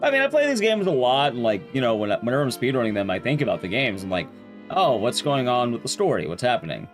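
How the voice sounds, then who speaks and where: A man's voice: medium pitch, very animated, fast, soft background music. A man livestreaming a game, chatting casually and addressing his viewers as he plays. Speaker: livestreamer and speedrunner. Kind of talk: casual livestream chat while playing a game.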